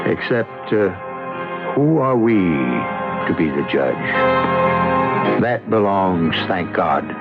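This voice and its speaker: Male radio host, theatrical scripted narration, slow, deliberate, loud music behind, dull lo-fi recording. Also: low voice. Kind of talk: scripted mystery anthology narration.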